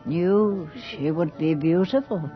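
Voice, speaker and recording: A woman's voice: lowish voice, takes it slowly. An actress playing a worldly society lady at a macabre ball, refined, languid and knowing, in a radio drama. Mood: wry, knowing. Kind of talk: theatrical radio drama acting. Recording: muffled old radio drama, music behind.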